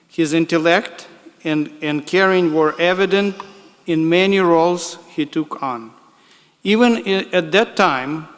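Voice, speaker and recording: A man with a Ukrainian accent giving a formal introduction, speaking slowly and carefully with small restarts. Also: a little high, fairly bright tone, long reverb tail. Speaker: board co-chair introducing the guest speaker. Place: large hall.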